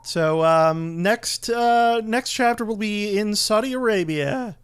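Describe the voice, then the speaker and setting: A man's voice: high voice, slow pace, clear, resonant tone, no echo. A man hosting a let's play with casual, conversational game commentary.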